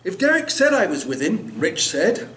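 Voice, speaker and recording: An older man reads his poetry at a live event with an expressive, dramatic literary delivery. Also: high voice, normal speed. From South Africa and England. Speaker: poet. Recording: noisy live event room recording.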